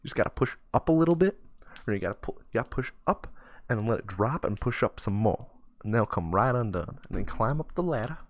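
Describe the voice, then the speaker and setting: A man voicing a chatty, folksy homeless drifter in a storytelling session, coaxing and drawn-out. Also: lowish voice, expressive, close to an everyday voice. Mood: folksy, instructive. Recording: noisy, keyboard clicks.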